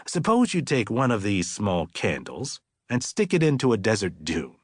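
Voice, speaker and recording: A man voicing an earnest, reflective middle-aged father in an audiobook, musing deliberately and with emphasis. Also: fairly low pitch, wildly swooping pitch, no echo, studio quality.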